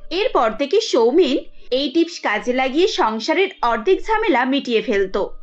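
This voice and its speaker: A woman reading scripted narration for a cartoon story, with dull lo-fi sound. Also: sits high. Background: music.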